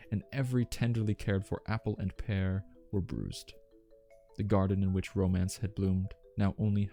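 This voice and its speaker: Genteel butler, male narrator in role, low-voiced and soft-toned, formal, gentle ASMR storytelling over music. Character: genteel, devoted male butler reminiscing about household staff.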